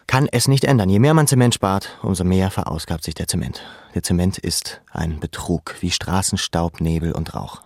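Male narrator, lowish gravelly voice, measured and sombre, scripted literary reading, dry studio sound. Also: a little mellow, no room sound.

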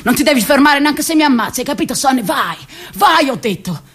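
A woman's voice: high voice, expressive, fairly quick, fairly bright tone, breathy edge, pitched above the performer's own voice, full character voice. A woman performing a bitter, cocky thirty-year-old jobless man in a radio theatre monologue, restless and profane, swinging between muttering and outbursts. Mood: defiant, bitter.

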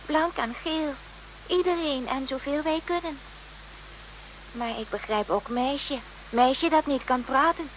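An actress playing a gentle, steadfast Vietnamese hospital helper in a radio drama, speaking halting, broken phrases. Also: female voice, high voice, a little hiss or hum, poor audio. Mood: earnest, patient. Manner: broken, halting Dutch in short simple phrases.

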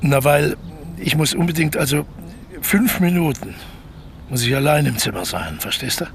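An actor playing a smooth-talking, scheming crook in a radio play, persuasive and cocky as he explains his plans. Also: a little high, moderate pace. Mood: instructive. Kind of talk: naturalistic German radio play dialogue.

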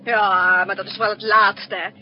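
An actress playing a quick-witted female spy accomplice in a 1960s Dutch radio drama. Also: medium pitch, level tone, natural voice. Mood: haughty, indignant. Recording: muffled, noisy 1960s radio recording.

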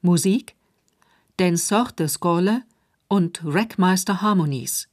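A woman's voice: lowish voice, takes it slowly, no room sound, studio quality. A woman reads a scripted announcement of radio play credits as the programme's announcer.

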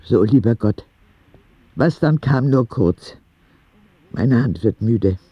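A man's voice: medium pitch, expressive, slow, breathy edge, dry recording, muffled recording. A man playing a frank young boy in a radio drama, narrating his letters in an earnest, matter-of-fact way. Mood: frank, content. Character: frank, childlike ten-year-old boy writing letters to God.

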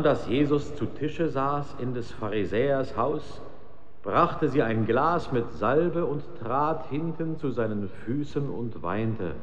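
An actor in a German radio drama playing a solemn Gospel reader who declaims slowly, with dramatic swells and hushes. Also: male voice, mid-range voice, a little hiss or hum. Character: solemn male scripture reader reciting the Gospel. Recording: long reverberant hall, slightly dull sound.

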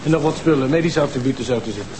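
Casting: male actor for gossipy cop in a TV drama. Halting, relishing each detail, echoey and noisy room. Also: mid-range voice, natural voice. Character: hesitant, gossipy male police officer. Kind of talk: naturalistic TV crime drama dialogue.

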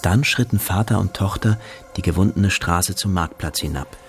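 A man narrates a radio drama from a script. His voice is very low, with soft music playing behind it. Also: moderate pace.

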